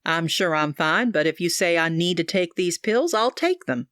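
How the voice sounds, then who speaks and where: A woman voicing a gentle, trusting elderly aunt who speaks slowly and kindly. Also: lowish voice, animated, no echo, studio quality. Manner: slow and kindly.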